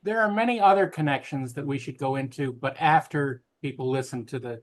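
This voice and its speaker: A man co-hosting a podcast in relaxed, casual conversation. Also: medium pitch, fairly quick. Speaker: podcast co-host. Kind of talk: casual chat.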